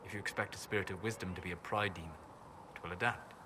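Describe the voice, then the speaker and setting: A low-voiced, calm elven scholar-mage from a fantasy game , acted by a man. He speaks precisely and philosophically, over clear background noise. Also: fast talker, lightly acted. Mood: calm, explanatory. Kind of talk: video game dialogue acting.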